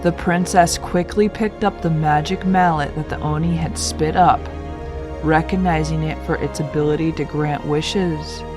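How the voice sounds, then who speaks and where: A woman narrating a children's folktale as a read-aloud, with expressive, deliberate delivery. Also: low-pitched, music in the background. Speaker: storyteller.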